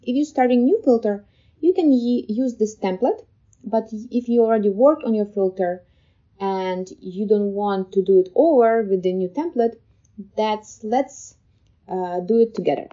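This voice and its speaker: A woman with a soft-edged, mellow voice walks through software steps as a tutorial host. Her delivery is informal and a bit hesitant, in a casual step-by-step style. The voice-over sounds somewhat muffled and lo-fi. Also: medium pitch, measured pace. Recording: slightly dull, lo-fi voice-over.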